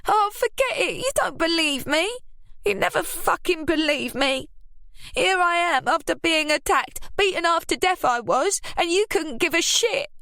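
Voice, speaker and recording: A woman reading an audiobook, voicing a brash, self-pitying woman who blusters and quickly loses her temper. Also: very high-pitched, expressive, fairly bright tone, no echo, studio quality, pitched above the performer's own voice, full character voice. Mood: angry. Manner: whiny, defensive, blustering.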